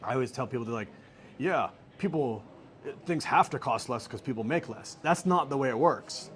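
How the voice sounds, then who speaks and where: A middle-aged American man giving relaxed, joking answers as an interview guest. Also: medium pitch, normal speed. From United States. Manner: relaxed, joking, laughs easily. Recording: faint background noise.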